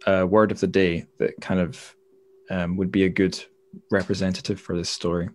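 A man's voice: deep voice, level tone, normal speed. A man hosting a podcast in a casual, chatty interview style. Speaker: podcast host.

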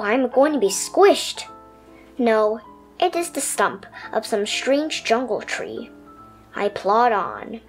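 A woman voicing a dreamy, adventurous little pet turtle in an expressive storybook telling. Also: fairly high pitch.

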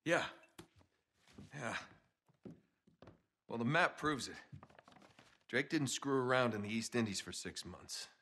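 Eager thirties treasure hunter, bright, husky. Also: male voice, mid-range voice, slow pace, long pauses, exaggerated voice. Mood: explaining, keen. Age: 30s. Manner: excited explaining, sarcastic asides. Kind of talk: video game cutscene acting, casual banter.

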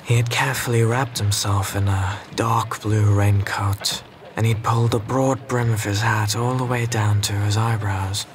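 A man playing a reflective, observant storyteller, narrating a radio drama in measured, literary phrases. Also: lowish voice, fairly even intonation, a little hiss or hum, close to an everyday voice.

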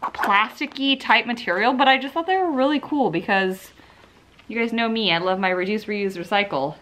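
A woman's voice: fairly high pitch, normal speed, background noise. A woman in her thirties chatting casually through a beauty haul at home, upbeat and full of "like" fillers, seated close to the camera. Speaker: beauty vlogger. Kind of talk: casual product haul chat. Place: living room at home, indoors. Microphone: camera mic, seated close to the camera.

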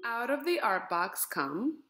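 A woman's voice: fairly high pitch, over loud music. A woman reading a children's picture book aloud, lifting her voice on each item in a list. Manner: slow, lilting and expressive, lifting words in lists. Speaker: storybook reader.

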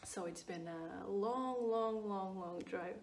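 A woman's voice: medium pitch, slow, darkish tone, far from the mic. Woman, late twenties, Portuguese accent, casual chatty vlog, fillers and repeated words, echoey room. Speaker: skincare vlogger. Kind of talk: casual product review.

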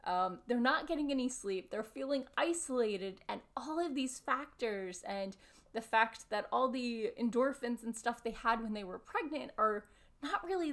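A woman in her thirties giving an earnest, emphatic explanatory talk to camera, recorded indoors at home. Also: a little high. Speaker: video presenter summarizing a discussion panel. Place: small furnished room at home, indoors.

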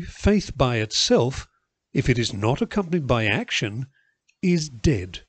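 Male scripture reader, animated intonation, dry close recording. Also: mid-range voice, dry recording. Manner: expressive, measured reading. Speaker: Bible reader. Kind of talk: scripture reading.

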